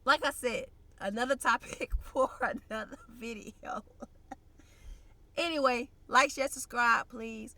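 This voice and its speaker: A woman's voice: fairly high pitch, takes it slowly, sharp tone, dry recording. A young woman vlogging in a blunt, slangy, conversational way, sounding congested and nasal. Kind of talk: casual direct-to-camera chat.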